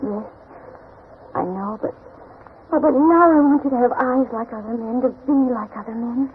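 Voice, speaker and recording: A woman's voice: a little high, normal speed, close to an everyday voice. An actress playing a shy, tender, mysterious young woman in 1940s radio drama style, wistful and hesitant. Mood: sad, tender. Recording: noisy, tinny 1940s radio recording.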